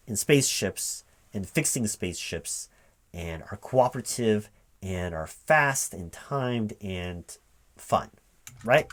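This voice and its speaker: A man speaking as a recruiting manager in a one-sided ASMR interview roleplay, questioning in a halting, puzzled way. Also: mid-range voice. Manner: halting, puzzled questioning, checking back with "right?". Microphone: close microphone with typing sounds behind. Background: computer keyboard typing.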